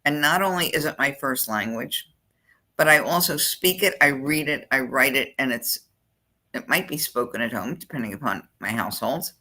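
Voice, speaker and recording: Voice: medium pitch, lively intonation, moderate pace, pushed and bright, poor audio. A college admissions counselor giving a screen-share walkthrough of an application form, thinking aloud while clicking through the fields.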